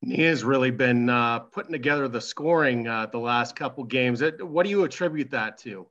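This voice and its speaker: A man's voice: medium pitch, normal speed, a bit bright. A man, a sports reporter asking questions at a press conference over a video call. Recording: dull lo-fi video-call audio.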